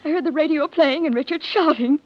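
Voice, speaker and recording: A woman's voice: high voice, a little fast, natural voice. A woman playing an anxious young woman feigning innocence in an old-time radio drama. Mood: defensive, anxious. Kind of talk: 1940s radio acting. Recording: muffled old radio recording, faint music.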